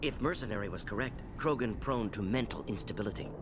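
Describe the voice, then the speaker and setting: A man plays an alien scientist in a game, clinical and blunt. His lowish voice delivers clipped fragments of analysis. It rings with long reverb over music. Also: fast talker, background noise, natural voice. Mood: inquisitive, analytical. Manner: clipped telegraphic sentences. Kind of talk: video game character acting.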